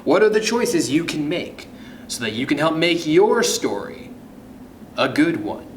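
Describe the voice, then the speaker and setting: A man reading a children's story aloud as host, with suspenseful pauses and hushed drops in his delivery. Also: on the high side, very animated. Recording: roomy echo, faint background noise.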